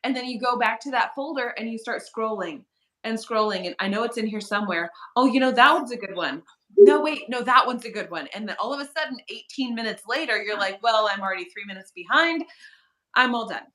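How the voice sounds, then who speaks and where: A woman in her thirties with a fairly high, bright voice, chatting as co-host. She tells stories with lively flair, acting out her thoughts. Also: fairly quick. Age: 30s. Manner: animated storyteller, acts out her own thoughts. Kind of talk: casual chat.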